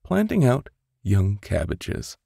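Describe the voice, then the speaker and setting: A man's voice: on the low side, huge pitch swings, takes it slowly, creaky, warm tone, husky, no room sound. A man narrating a bedtime story expressively and theatrically, close on a studio microphone. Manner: theatrical, with whispers and sound-effect voices. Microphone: close studio condenser microphone.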